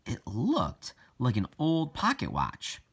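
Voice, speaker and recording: A man reading a children's novel aloud as scripted narration, with deliberate, halting phrasing. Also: medium pitch, wildly swooping pitch, husky. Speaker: audiobook reader.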